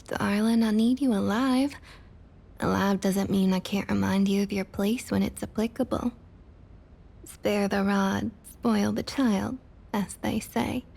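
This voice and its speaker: Picture a woman voicing a female vampire of high rank from an ASMR roleplay, speaking in a slow Southern US drawl. Her voice is husky and breathy, often sinking into creak. She is patronizing and quietly domineering, with menace under the sweetness. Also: full character voice. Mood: quietly threatening. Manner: condescending, drawling pet names, slow and deliberate.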